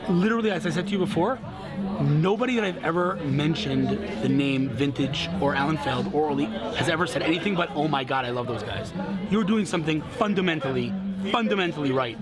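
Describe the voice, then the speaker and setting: A man hosting a casual interview in a restaurant, excitable and gushing, often talking over his guest. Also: fairly high pitch, a little fast. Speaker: vlog host interviewing guests. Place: busy restaurant. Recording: noisy restaurant with loud music. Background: loud music and crowd chatter.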